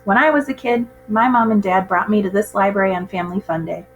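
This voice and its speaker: A woman's voice: mid-range voice, fast, highs cut off, a little hiss or hum, natural voice. Woman reading as an encouraging storybook magician. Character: encouraging adult male stage magician in a storybook.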